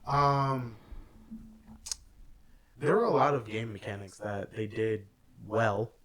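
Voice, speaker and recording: A man's voice: lowish voice, slow, fairly bright tone. A young man co-hosting a casual chat, explaining things thoughtfully with trailing fillers. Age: young adult. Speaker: podcast co-host. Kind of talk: casual chat about games and movies.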